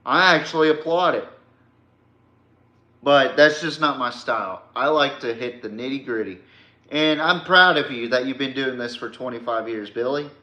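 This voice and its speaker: A man's voice: medium pitch, normal speed, sharp tone. A man hosting a sports podcast, delivering a blunt, confrontational rant in slangy, informal speech. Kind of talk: combative sports rant addressed to a rival. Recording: echoey room.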